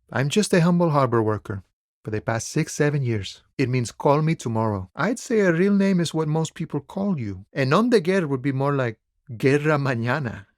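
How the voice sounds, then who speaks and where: A man's voice: mid-range voice, dry recording, studio quality, natural voice. A male actor playing a laid-back, philosophical harbor worker on strike in a video game, speaking in an easygoing, wry, musing way. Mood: friendly, easygoing. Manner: easygoing, wry, musing, unhurried folksy phrasing. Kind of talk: video game dialogue acting.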